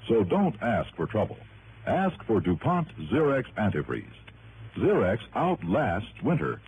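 A man reading a vintage radio commercial as an announcer, with an emphatic, polished sales delivery. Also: fairly low pitch. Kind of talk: 1950s-60s radio commercial read. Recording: tinny, noisy vintage radio recording.

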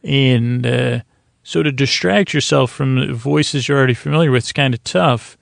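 Man, heavily gravelly creaky voice, close dry sound, halting bedtime podcast rambling, soothing, digressive. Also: mid-range voice, dry recording. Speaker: podcast host. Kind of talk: rambling bedtime monologue for falling asleep.

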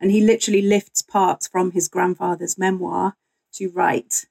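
A woman's voice: on the low side, little pitch movement, moderate pace, creaky, dark tone, slightly rough. A woman talking conversationally as a guest historian, telling stories in an interview.

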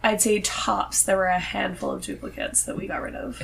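Female podcast guest, thirties, mid-pitched with a creaky edge, conversational, faint noise behind the voice. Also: very animated, normal speed, fairly bright tone. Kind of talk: casual chat about books.

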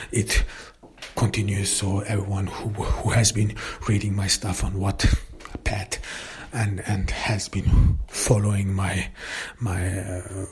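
A German-native man talks through his podcast in a very low, husky voice that barely moves in pitch. He stops and restarts often, filling gaps with hesitant sounds as he thinks aloud. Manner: halting, many fillers and restarts. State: recovering voice after illness. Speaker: podcast host and author. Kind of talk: casual solo podcast talk.

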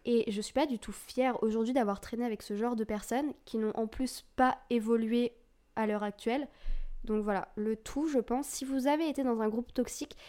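A woman's voice: on the high side, steady tone, normal speed, dark tone. A woman in her twenties from France, hosting a podcast and telling her own story in a candid, confessional, casual way with fillers. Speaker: podcast host. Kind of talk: personal storytelling monologue.

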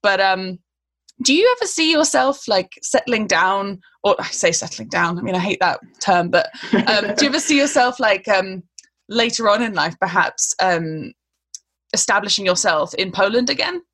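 A young woman in a casual conversation, chatty and quick to laugh, asking the questions. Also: mid-range voice, animated, a bit bright.

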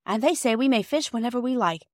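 A woman's voice: a little high, lively intonation, fast talker, no echo, studio quality, pitched above the performer's own voice, close to an everyday voice. A woman voicing a chatty, sensitive little girl, the younger sister in a storybook, talking in earnest runs. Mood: excited.

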